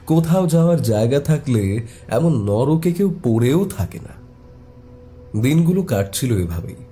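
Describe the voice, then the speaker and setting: Very dark-voiced male horror storyteller. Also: mid-range voice. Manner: measured, dramatic pauses. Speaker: story narrator. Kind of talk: dramatic scripted horror story narration.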